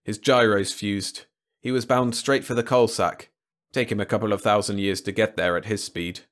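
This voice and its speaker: A man's voice: on the low side, steady tone, moderate pace, a bit bright, lightly acted. Guy reading as a gloomy slavic spaceman, dry and sardonic, enjoys bad news, scifi audiobook. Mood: dreamy.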